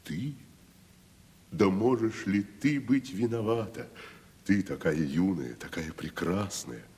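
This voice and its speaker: An actor playing a gloomy, grandiloquent wandering tragedian in a 1970s Soviet radio play, with a declamatory, theatrical delivery. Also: male voice, low-pitched, lively intonation, takes it slowly, a little mellow, slightly rough, full character voice. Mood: tender, fervent. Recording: noisy old radio play recording.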